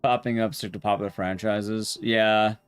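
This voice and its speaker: A man's voice: lowish voice, steady tone, moderate pace, clean tone. A man streaming a game, chatting casually in a rambling, blunt, conversational way with his viewers. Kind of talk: casual live-stream chat while gaming.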